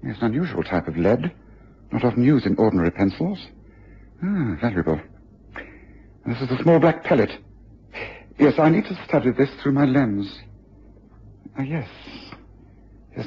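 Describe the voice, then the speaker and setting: An observant detective in a radio drama, absorbed and probing, musing as he goes. The voice is markedly husky and rises and falls freely. The recording is dulled, like an old broadcast. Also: mid-range voice, big pitch swings, normal speed, long pauses, lo-fi sound, full character voice. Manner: musing hesitations, thinking aloud while examining.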